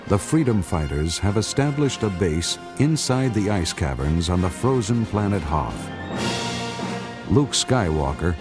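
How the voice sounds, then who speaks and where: A man narrating a radio drama from a script in a solemn, deliberate, announcer-like manner. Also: low voice.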